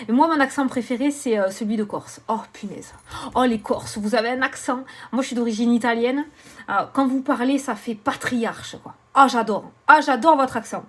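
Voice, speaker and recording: A woman, chatting animatedly to camera as a tarot reader, swearing and joking about herself. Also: on the high side. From southern France. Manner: animated, swearing, laughing, self-mocking. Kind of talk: casual chatty vlog.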